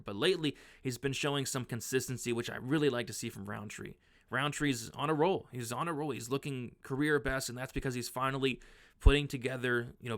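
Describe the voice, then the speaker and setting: A young man, hoarse from losing his voice, casually talking through his fight betting picks with lots of fillers and restarts. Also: medium pitch, a bit bright. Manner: rambling, filler-heavy, frequent restarts. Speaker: sports betting analyst.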